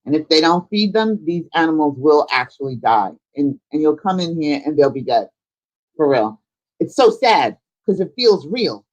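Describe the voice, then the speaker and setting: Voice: medium pitch, very animated, moderate pace, dull audio. A live stream host chatting casually and informally, thinking aloud while giving a tour of a virtual world.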